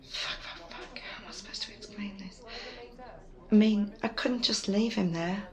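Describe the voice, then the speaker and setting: A woman's voice: mid-range voice, normal speed, a little mellow, lightly acted. A woman playing a wry, evasive young rescuer in an intimate ASMR audio roleplay, with a sardonic, hesitant manner. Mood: evasive, flustered. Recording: noisy ambience behind close voice.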